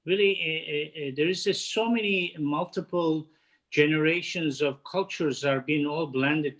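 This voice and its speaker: A man in his fifties from Turkey, speaking with a Turkish accent, answering interview questions in halting speech full of "uh" fillers and restarts. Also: on the high side, a bit bright, rough recording. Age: 50s. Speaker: guest, head of catering. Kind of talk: casual interview chat.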